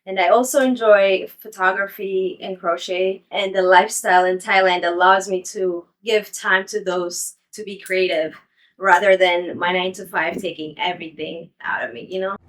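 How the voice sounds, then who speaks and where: A young woman, an English teacher, talking casually in an interview with many ums and soft laughs while walking around her apartment building. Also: medium pitch, steady tone, moderate pace, poor audio. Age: young adult. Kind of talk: casual interview and home tour.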